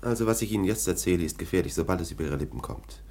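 A domineering police lieutenant in a radio play. The voice is very low and husky and moves in wide, lively swings, full of sardonic, dismissive scorn. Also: male voice, very fast. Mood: confidential, sardonic. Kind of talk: German radio play acting.

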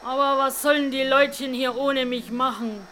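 A woman's voice: high-pitched, steady tone, moderate pace, bright, forceful tone, a little hiss or hum. An actress playing a grumbling, good-hearted cleaning woman who gossips in a folksy, self-satisfied way in a radio drama. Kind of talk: German radio drama monologue.

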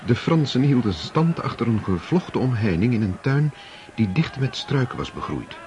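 A man's voice: mid-range voice, very animated, moderate pace, a little mellow, muffled recording, a little hiss or hum. A man narrating a radio drama in scripted literary storytelling.

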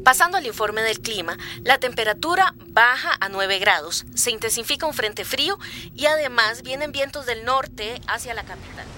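A woman's voice: a little high, animated, normal speed, pushed and bright, a little hiss or hum. A woman playing a radio newsreader, reading scripted bulletins in a formal announcing manner. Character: brisk female radio newsreader.

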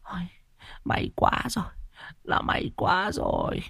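A man voicing a frail elderly woman risen from her deathbed, confused and fretful, with a thin, breathy, quavering voice. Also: mid-range voice, huge pitch swings, slow, a bit bright, dry recording, clean studio sound, voice pushed up, heavily acted. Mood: anxious, tearful.

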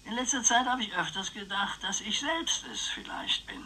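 An actor playing an eccentric old uncle in a radio play, rambling philosophically with a wry, teasing manner. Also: male voice, high voice, normal speed, bright, forceful tone, a little hiss or hum, low-quality recording. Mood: chatty, reflective. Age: older. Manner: musing, chatty, wry.

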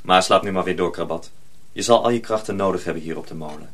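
Deep-voiced, calm head journeyman. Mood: calm reassurance. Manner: calm, measured. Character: calm, grave, friendly head journeyman at a mill. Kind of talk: radio drama acting.

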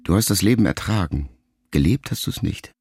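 A man in a radio production reading a letter aloud as literary narration, quiet and reflective, with phrase endings that trail off softly. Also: low-pitched, expressive, moderate pace, slightly rough, no echo, studio quality.

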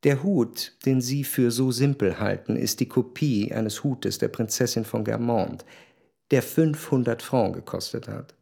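An actor playing a haughty, impatient gentleman with a formal, condescending manner in a German radio play. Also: mid-range voice, measured pace, creaky. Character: haughty, impatient well-off gentleman, master of house.

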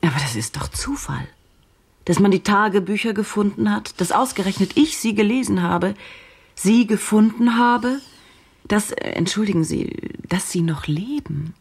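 A woman's voice: mid-range voice, animated, normal speed, gravelly, darkish tone, husky. An actress playing an uneasy journalist in her early thirties in a radio drama, earnest and questioning, with some hesitation. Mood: uneasy, hesitant. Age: 30s.

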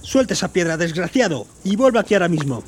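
A man's voice: high-pitched, sing-song, fast talker. Exasperated straight man played by an actor, noisy background. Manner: dry, exasperated. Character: exasperated, polite straight-man office worker, sharp with his foolish friend. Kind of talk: audio drama acting.

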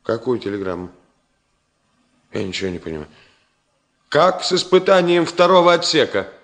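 A man's voice: mid-range voice, very animated, moderate pace. An actor playing a brusque, agitated male chief engineer in a 1970 Soviet radio play, emotional and abrupt. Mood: agitated, impatient. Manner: emotional, abrupt, swinging from hushed to shouting.

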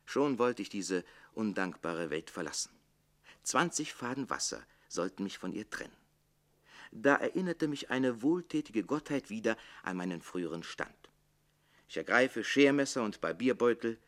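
A man playing a witty, self-pitying barber-servant in a radio drama, declaiming theatrically between exuberance and hushed lament. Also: mid-range voice.

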